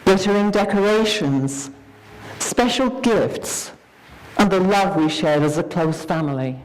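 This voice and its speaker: A woman giving a dramatic, expressive reading of a scripted story, with deliberate pauses. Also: on the low side, rough recording.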